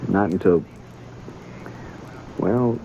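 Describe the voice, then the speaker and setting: An actor playing a defensive, guilt-ridden young American frontier man in a 1950s radio Western, speaking in a rustic, halting, emotional way. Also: sits low, warm tone, slight background noise, heavily acted. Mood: anguished confession. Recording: muffled old radio recording, faint music.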